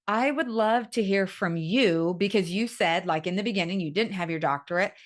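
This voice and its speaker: Middle-aged woman host, low-pitched, candid and chatty, self-deprecating. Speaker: podcast host. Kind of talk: conversational interview.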